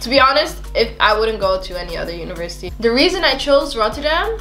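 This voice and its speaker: A woman's voice: on the high side, sing-song, fast talker, sharp tone. Young dutch woman chatting over food, giggly, roomy echo, bit of music behind. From Netherlands. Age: young adult. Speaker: vlogger host. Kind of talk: casual chat while eating.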